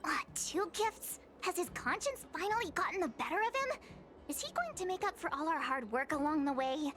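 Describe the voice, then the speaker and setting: A woman's voice: extremely high pitch, big pitch swings, moderate pace, fairly bright tone, heavily acted. A woman voicing a cheeky, brash young female companion in a video game. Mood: teasing. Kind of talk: scripted video game voice acting.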